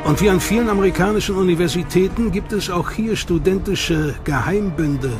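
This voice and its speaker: A man narrating a documentary in a measured, dramatic way. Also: high-pitched. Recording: narration over loud music.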